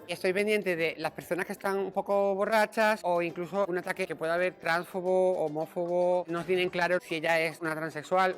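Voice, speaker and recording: A woman in an interview, plainly explaining her work as a performer's assistant. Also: lowish voice. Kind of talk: interview talk.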